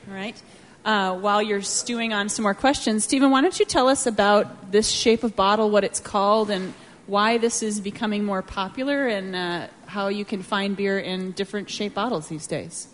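A woman's voice: mid-range voice, normal speed, hardly any pauses. Woman, mid-range voice, live event moderator, chatty and encouraging, slight ambient noise behind. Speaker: host, moderator. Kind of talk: hosting an informal live question-and-answer talk.

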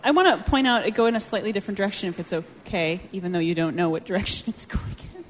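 A woman's voice: medium pitch, quick pace, slight background noise. A woman hosting an informal live question-and-answer talk in a chatty, encouraging way. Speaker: host, moderator.

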